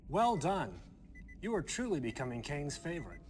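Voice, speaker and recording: Smug, animated scheming military lieutenant. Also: male voice, a little high, moderate pace. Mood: conspiratorial, flattering. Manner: sardonic, condescending, theatrical. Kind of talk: video game cutscene briefing acting.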